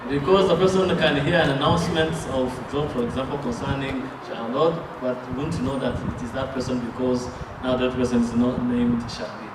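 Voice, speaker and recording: A man's voice: mid-range voice, fairly even intonation, distant mic. Man interpreting a sermon live. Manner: halting, with fillers and restarts. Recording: echoey room, picked up from afar, noisy.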